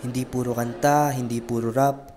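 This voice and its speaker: A man's voice: mid-range voice, level tone, normal speed, darkish tone, a faint crowd, slight background noise, close to an everyday voice. A man playing a stern, wry village father in a radio drama, speaking bluntly and challengingly. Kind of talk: student radio drama acting.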